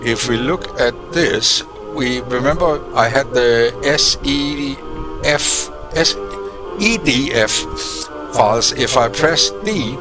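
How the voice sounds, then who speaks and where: A man's voice: medium pitch, lively intonation, takes it slowly, over music. A man hosting an electronics tutorial, talking casually through his project with frequent fillers and restarts. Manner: thinking aloud, frequent uh and restarts. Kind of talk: casual technical walkthrough. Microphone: desk microphone, switched on and off.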